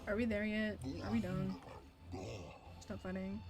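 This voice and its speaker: A woman live-streaming a video game, commenting casually and excitably with mild swearing. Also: mid-range voice, measured pace, faint music behind.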